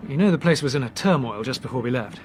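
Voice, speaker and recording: An actor playing a brisk young Englishman who reasons deliberately and articulately, in a British radio drama. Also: mid-range voice, lively intonation, close to an everyday voice. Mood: reasoning, brisk. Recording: noisy train background ambience.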